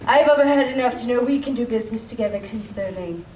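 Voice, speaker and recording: Woman acting a scheming villain, high voice, echoey. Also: fast, a little mellow, slight background noise, lightly acted. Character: vengeful sneaking villain, cold and scheming. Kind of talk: stage radio play acting. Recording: echoey stage from far off, music behind.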